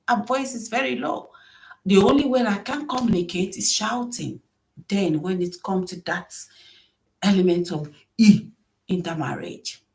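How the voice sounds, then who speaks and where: A soft-voiced middle-aged woman hosting a podcast, giving earnest solo advice on marriage and often repeating key phrases for emphasis. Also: medium pitch, rough recording. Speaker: podcast host.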